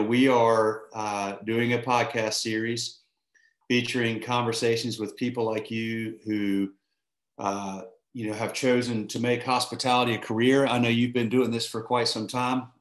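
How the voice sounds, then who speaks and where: A man's voice: fairly low pitch, roomy sound. A man hosting a podcast interview, friendly and rambling as he sets up his questions. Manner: friendly, rambling with fillers and restarts. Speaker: podcast host. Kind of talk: interview conversation.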